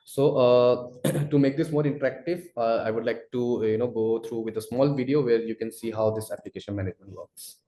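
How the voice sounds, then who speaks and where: A man presenting a software product in a webinar, with a halting, informal delivery full of verbal tics. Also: medium pitch, fairly even intonation. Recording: echoey room sound.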